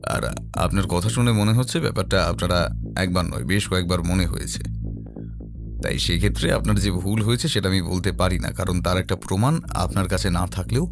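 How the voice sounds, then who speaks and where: A man playing a composed, earnest detective in an audio drama, speaking in a measured, serious way. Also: deep voice, over music. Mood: reassuring, serious. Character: composed, earnest male detective, a seeker of truth. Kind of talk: audio drama character acting.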